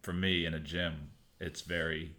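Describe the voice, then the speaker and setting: A man who coaches shooting, talking casually on a podcast in a halting, laid-back way full of restarts and fillers. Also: sits low, flat delivery, pushed and bright.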